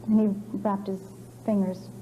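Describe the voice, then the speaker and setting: A middle-aged woman giving reflective, measured and articulate answers as a birth mother in an interview. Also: medium pitch, little pitch movement, highs cut off, slight background noise.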